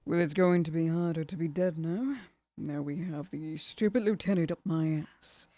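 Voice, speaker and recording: A woman's voice: sits low, normal speed, dark tone, no echo, natural voice. A woman playing an irritable, stubborn assassin in an ASMR audio roleplay, blunt and dismissive in her delivery. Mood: indignant. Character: irritable, stubborn female assassin, undead and aloof.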